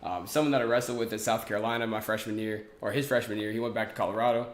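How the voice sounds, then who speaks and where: Young man, low voice, echoey room. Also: very fast, a little hiss or hum. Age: 20s. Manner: relaxed, many fillers and restarts. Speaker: podcast guest. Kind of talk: casual interview talk.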